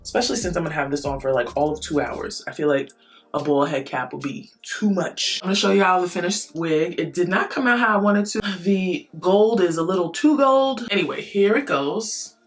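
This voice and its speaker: Woman vlogger, freshly awake, husky low morning tone, chatty and rambling, light music behind. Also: normal speed. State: just woke up, low morning voice. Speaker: beauty vlogger. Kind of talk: casual chat to camera.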